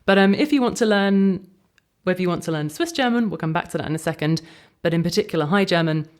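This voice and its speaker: A woman co-hosting a casual podcast, speaking clearly and explaining practical details for newcomers. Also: fairly low pitch, fast talker. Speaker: podcast co-host.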